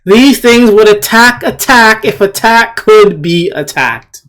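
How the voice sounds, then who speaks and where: Male commentator, voice on the higher side, unscripted and argumentative, repeats himself for emphasis. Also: animated, takes it slowly, fairly bright tone. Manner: argumentative, scornful. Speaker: commentator hosting an opinion video. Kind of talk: unscripted opinion rant.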